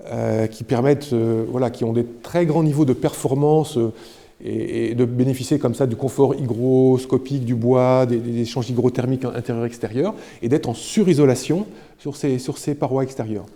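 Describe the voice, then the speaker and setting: A man giving a spontaneous guided explanation as an architect, halting and often repeating words as he goes. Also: medium pitch, warm tone, no echo. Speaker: architect presenting a building project.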